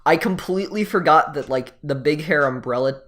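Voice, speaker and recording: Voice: medium pitch, a little fast, fairly bright tone. A podcast co-host in casual chat, explaining points emphatically in long run-on sentences. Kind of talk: casual chat reviewing an anime episode.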